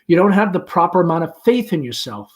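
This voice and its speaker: A man reading the part of a self-assured, breezy young man in a play, in brisk, declarative phrases. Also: high voice, sing-song, voice pushed up. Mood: lecturing. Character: confident, breezy young man who likes analyzing people. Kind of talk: amateur play read-aloud.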